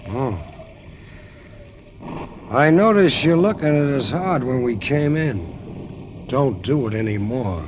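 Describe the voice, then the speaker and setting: An actor playing a big, suspicious gangster, curt and threatening, in 1940s radio style. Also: male voice, mid-range voice, lively intonation, takes it slowly, pauses often, background noise. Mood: menacing.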